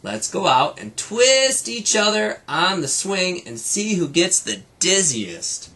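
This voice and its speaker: A man's voice: sits high, sing-song, slow, sharp tone, pitched above the performer's own voice, exaggerated voice. A man voicing an impatient young girl bear cub from a children's storybook. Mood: suggesting.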